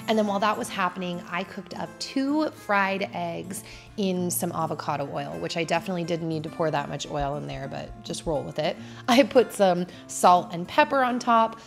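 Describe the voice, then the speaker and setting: A woman's voice: lowish voice, vocal fry. A woman in her thirties, a vlogger chatting casually at home as she narrates her cooking. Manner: chatty, laid-back, with casual fillers. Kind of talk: cooking vlog voice-over. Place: home, indoors.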